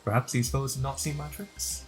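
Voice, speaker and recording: A man playing a character in a tabletop fantasy game, with deliberate wording. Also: mid-range voice, steady tone. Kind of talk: in-character roleplay. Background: background music.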